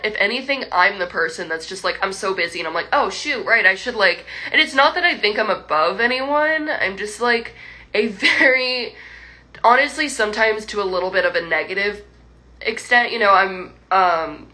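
A woman's voice: medium pitch, lively intonation, normal speed, sharp tone, rough recording. A young woman livestreaming from home, chatting to fans in a rambling, laughing way full of likes and restarts. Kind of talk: casual chat with viewers. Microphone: phone livestream.

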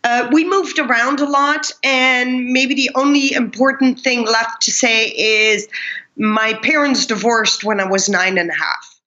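Woman, middle-aged, bright higher voice, dull lo-fi sound. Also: a little slow. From Pakistan. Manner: reflective, earnest storytelling. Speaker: guest, kindness speaker. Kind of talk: conversational interview.

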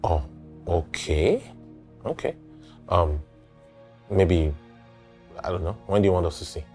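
Casting: a glib, slippery ladies' man in a film drama, low-pitched voice. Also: lively intonation, slow pace, a little mellow, faint music behind. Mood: casual, cheerful. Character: smooth-talking male lover, evasive and defensive when cornered.

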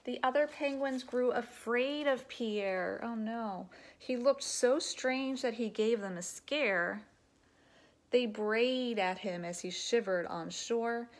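A woman in her thirties reads a storybook aloud at home for young children. Her pitch is average and her pace is unhurried. She delivers each line expressively and deliberately, with teacherly asides. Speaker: elementary teacher reading a picture book aloud. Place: living room at home.

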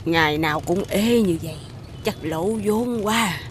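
A woman voicing a kindly, humble old street vendor in a children's cartoon, gentle and grateful, with a southern Vietnamese accent. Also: on the low side, measured pace, a little hiss or hum, close to an everyday voice. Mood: weary. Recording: loud music under the voice.

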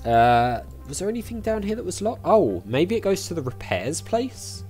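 Animated man playing games. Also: high voice, moderate pace, faint music behind. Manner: chatty, thinking aloud. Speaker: gaming YouTuber. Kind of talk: casual let's-play commentary.

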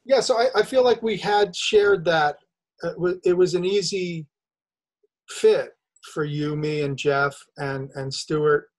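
A middle-aged man hosting a podcast over a video call, explaining his ideas in a measured, thoughtful way. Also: fairly high pitch. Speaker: podcast host. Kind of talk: interview conversation. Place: home studio room, video call. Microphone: video call webcam.

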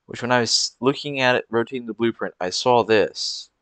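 A man's voice: mid-range voice, moderate pace, a bit bright, no echo. A young man giving rambling, casual commentary over gameplay, with frequent fillers and restarts. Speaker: gameplay video creator.

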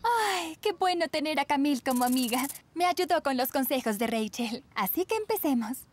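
A woman voicing a sweet, naive teenage aspiring model in a cartoon dub, earnest and expressive. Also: sits high, moderate pace, very noisy. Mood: cheerful. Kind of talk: animated series dub in Spanish.

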